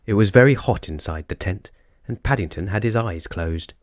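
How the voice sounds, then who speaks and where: A man narrating a children's storybook as an expressive storyteller. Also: fairly low pitch, normal speed, no room sound, clean studio sound.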